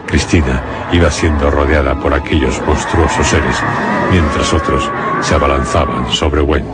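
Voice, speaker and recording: A man's voice: extremely low pitch, fairly even intonation, moderate pace. A man narrating a scripted suspense radio play in a solemn storytelling manner. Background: prominent dramatic music.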